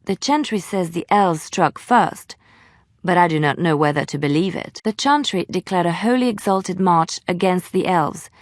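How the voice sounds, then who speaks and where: A woman playing a gentle, devout former minstrel turned chantry sister in a fantasy video game, speaking in a measured, earnest, lyrical storyteller's manner. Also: lowish voice, dry recording, clean studio sound, close to an everyday voice. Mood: solemn storytelling.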